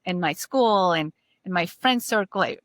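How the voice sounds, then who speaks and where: A lowish-voiced woman in her forties speaks with a Turkish-German accent. She talks casually and emphatically, often repeating a point. Her voice is close and dry, with no room sound. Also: moderate pace, no echo. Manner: animated, emphatic, repeats herself. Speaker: podcast host. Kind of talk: casual podcast conversation.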